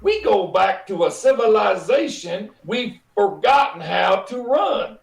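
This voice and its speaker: Blustery middle-aged male boss for radio comedy, man acting: high-pitched, swooping delivery, emphatic, roomy sound. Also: lively intonation, measured pace, creaky, full character voice. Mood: exasperated, insistent.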